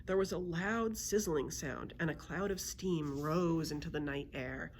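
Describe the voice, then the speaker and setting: A woman reading a children's book aloud as a library storyteller, in an expressive storytelling manner. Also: deep voice, measured pace, slight background noise.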